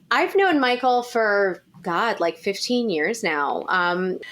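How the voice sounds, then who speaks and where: Wry woman with medium-pitched voice. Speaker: cast member. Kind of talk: casual interview storytelling.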